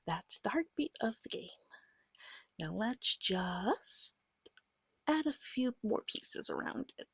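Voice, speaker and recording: A woman gives slow, patient guidance in a soft, breathy ASMR voice that often drops into a whisper. Her pitch moves in lively little swings between short, reassuring phrases. The sound is very dry and intimate. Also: dry recording. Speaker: guide teaching a beginner tabletop game. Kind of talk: soft-spoken ASMR, slow guided explanation.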